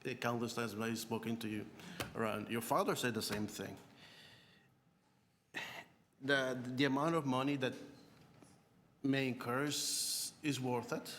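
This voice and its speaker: Halting man in an echoey hall. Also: mid-range voice, fairly even intonation, a bit bright. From born in Croatia, Canada (Scarborough). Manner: halting, repeats and stammers on words. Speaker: public speaker at a committee meeting. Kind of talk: unscripted public deputation. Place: large indoor meeting room. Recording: echoey hall, clear background noise.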